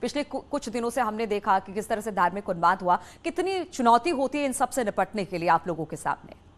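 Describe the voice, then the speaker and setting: A woman's voice: mid-range voice, animated, a little fast. A woman, a TV news interviewer asking long, polite, formal questions.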